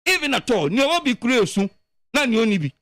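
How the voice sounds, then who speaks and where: A man with a Ghanaian accent giving animated political commentary on the radio, emphatic and full of repeated words. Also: very high-pitched, fast talker, dry recording.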